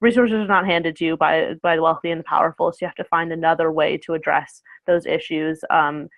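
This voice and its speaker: A woman's voice: deep voice, fairly even intonation, fairly quick, creaky, dry recording. A woman in her twenties, a guest talking casually on a video call, rambling with many fillers and self-corrections. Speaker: guest speaker. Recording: tinny phone-like call audio.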